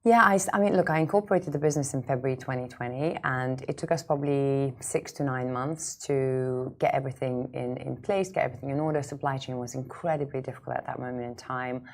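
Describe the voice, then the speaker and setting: A woman's voice: extremely low pitch, quick pace, hardly any pauses. A woman in her thirties from Lithuania, a founder speaking conversationally as an interview guest, with emphatic repetition. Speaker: startup founder, podcast guest.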